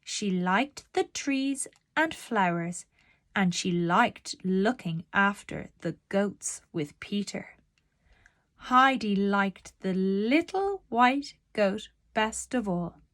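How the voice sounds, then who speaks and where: A woman narrating a children's storybook aloud in a deliberate, expressive storytelling manner. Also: medium pitch, no room sound, clean studio sound.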